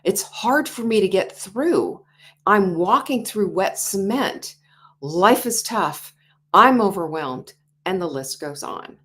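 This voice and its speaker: A woman in her sixties hosting a motivational talk show, animated, acting out quoted inner voices and dropping to whispers. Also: mid-range voice, slow pace. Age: 60s. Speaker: host.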